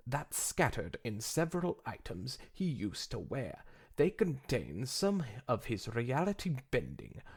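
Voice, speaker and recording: Man voicing an affectedly refined pony author, gravelly, animated. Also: medium pitch, full character voice. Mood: earnest pitching. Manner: affected, mock-sophisticated, expository. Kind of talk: fan fiction dramatic reading.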